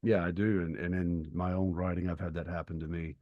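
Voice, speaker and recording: A man with a low-pitched voice talks as a podcast co-host about books. He explains things in a measured, thoughtful manner, and the sound is dry, with very little room in it. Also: flat delivery, no room sound, highs cut off. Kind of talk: conversational literary discussion.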